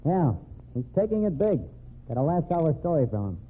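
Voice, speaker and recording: A cynical, slangy newspaper reporter in a vintage radio play. He is irreverent and wisecracking, with a fairly high, very animated voice on a narrow, tinny old recording. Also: fairly quick, breathy edge. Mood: brash. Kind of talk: old-time radio drama acting.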